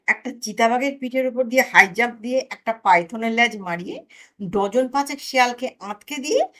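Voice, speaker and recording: A woman, reading a comic story aloud as an expressive narrator. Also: on the high side. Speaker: audio story narrator and channel host.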